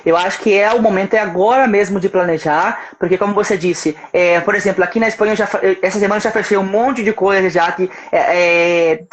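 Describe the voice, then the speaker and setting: A man's voice: high voice, fairly even intonation, brisk, hardly any pauses. A man from Espírito Santo, Brazil, with a slight Spanish tinge to his Brazilian accent, speaking as a language school representative in a casual interview. He is agreeable and often stops to restart his phrases. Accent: Brazilian Portuguese with a slight Spanish tinge. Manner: agreeable, with many hesitant restarts. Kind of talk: casual live-stream interview. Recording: faint background noise on a video call.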